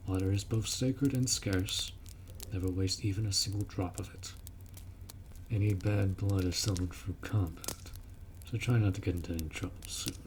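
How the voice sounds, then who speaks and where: A man's voice: low-pitched, steady tone, moderate pace, a little hiss or hum, natural voice. A man voicing a blunt, protective orc warrior who speaks informally and firmly in a roleplay audio drama. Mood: stern, instructing. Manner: blunt, informal, firm, instructing.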